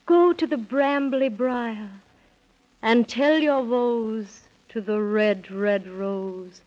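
A gentle fairy queen, speaks slowly and solemnly in rhyme with a fairly high voice. It is stately 1930s children's radio acting on a muffled old recording. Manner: stately, measured rhyming speech.

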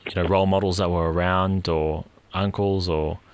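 A man's voice: low voice, steady tone, moderate pace, clear, resonant tone, no room sound. A man in his thirties hosting a podcast, chatting casually and self-deprecatingly with a guest, with plenty of "um" fillers. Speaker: podcast host. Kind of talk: casual interview chat.

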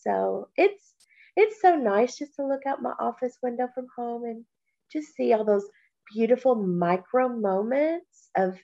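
A woman from Kentucky co-hosting a podcast, talking slowly and reflectively, with a stuffy nose from allergies. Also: on the high side, lively intonation, vocal fry, muffled recording. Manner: slow, warm, reflective, with many pauses and fillers. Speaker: podcast co-host. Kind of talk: casual podcast chat.

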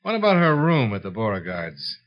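An actor playing a brisk, probing investigator who narrates his case in a 1950s radio drama. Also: lowish voice, wildly swooping pitch. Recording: dull lo-fi 1950s radio recording.

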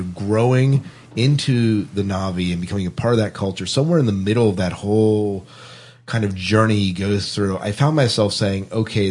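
A man's voice: on the low side, darkish tone, slightly rough. A man hosting a casual film discussion podcast, thinking aloud and often restarting his sentences. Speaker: podcast host.

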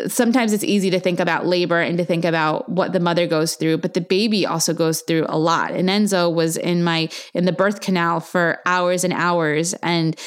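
A woman in her thirties, born in Argentina and raised in the U.S., candidly recounting her son's birth in an earnest, reflective monologue. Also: on the low side. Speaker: mother telling her birth story. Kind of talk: personal storytelling monologue.

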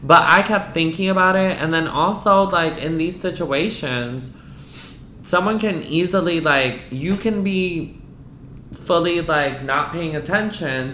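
A woman from the US East Coast telling a personal story to a host, candid and animated, filling her talk with "like" and some swearing. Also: deep voice, slight background noise. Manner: frank, animated, peppered with "like" and swearing. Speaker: guest. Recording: roomy echo.

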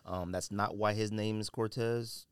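A man's voice: on the low side, steady tone, measured pace, clean tone, no room sound. An American man from California hosting a podcast, chatting casually and informally with plenty of slang. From California, United States. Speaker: podcast host. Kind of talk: casual solo podcast chat about sneakers.

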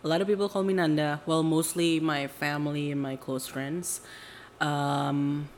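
A woman's voice: deep voice, little pitch movement, a little slow, slight background noise. A woman in her late twenties from Indonesia, co-hosting a podcast in an informal, agreeable, slightly hedging conversational manner. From Jakarta, Indonesia. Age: late 20s. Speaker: podcast co-host. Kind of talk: casual chat.

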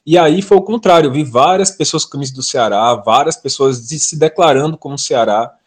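A man from Bahia with a Bahian accent, sharing memories on a podcast in a relaxed storytelling way. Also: on the high side, fairly quick, slightly rough. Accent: Bahian Brazilian Portuguese. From Bahia, Brazil. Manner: storytelling, uses fillers like "assim" and "aí". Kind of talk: casual podcast chat.

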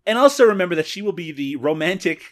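Canadian male podcast co-host, animated delivery, laughs easily, casual recap. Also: sits high, fast. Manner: laughs often, recaps scenes. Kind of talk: casual movie review chat.

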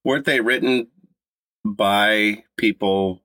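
A man in his fifties from Colorado, co-hosting a podcast in casual chat, talking with a slight stutter and halting restarts. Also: lowish voice, fairly even intonation, pushed and bright, no room sound. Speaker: podcast co-host.

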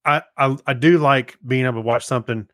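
A man co-hosting a podcast, chatting casually and haltingly as he thinks aloud. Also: medium pitch, a bit bright, dry recording, studio quality. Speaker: podcast co-host. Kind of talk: casual chat.

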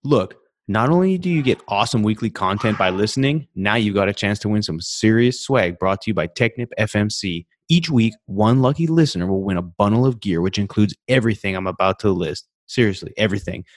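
Upbeat low-voiced male podcast host. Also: lively intonation, fairly quick. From Canada. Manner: upbeat, chatty, rambling asides. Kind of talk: casual interview chat. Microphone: video call.

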